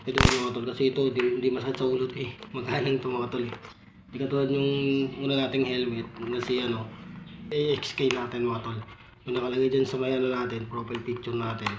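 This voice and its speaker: A Filipino man talks in a relaxed, informal vlog style, as if to his buddies. His medium-pitched voice stays fairly monotone. The recording is roomy and echoey, with clear noise behind him. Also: a little fast. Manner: repeatedly addresses viewers as buddies, informal. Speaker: vlogger reviewing motorcycle gear. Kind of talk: casual vlog product walkthrough.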